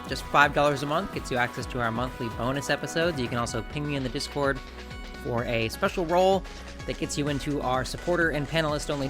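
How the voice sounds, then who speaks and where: A woman hosting a podcast in casual chat, laughing often and restarting her sentences. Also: low-pitched. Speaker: podcast host.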